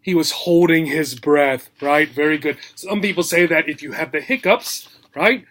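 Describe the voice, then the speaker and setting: A man reading a picture book aloud as a teacher, in simple short phrases, with animated questions for young listeners. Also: fairly high pitch, normal speed. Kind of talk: children's read-aloud lesson, asking questions.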